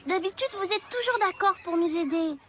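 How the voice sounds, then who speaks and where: Brief: keen little schoolgirl, squeaky high voice, quick and hopeful. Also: lively intonation. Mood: eager. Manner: bright, quick, hesitant when pressed. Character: eager, hopeful young schoolgirl. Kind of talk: cartoon dub.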